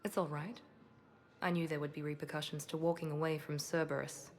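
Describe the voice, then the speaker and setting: An actress playing a composed, guarded woman operative in a video game, speaking in a measured, wry, restrained way. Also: sits low, level tone. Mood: guarded, resolute. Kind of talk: video game cinematic dialogue acting.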